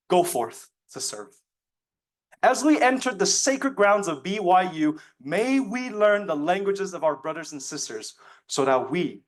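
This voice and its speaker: A young man, a native Mandarin speaker, delivers a prepared persuasive speech as a student competitor, with earnest, emphatic oratorical phrasing. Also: on the high side. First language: Mandarin Chinese.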